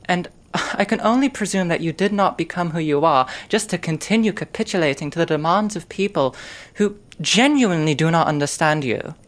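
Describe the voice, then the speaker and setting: Gentle, caring female friend for a fan audio drama woman acting, lowish voice, formal and measured, earnest and polite. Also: lightly acted. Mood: earnest, consoling. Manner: formal, measured, careful wording.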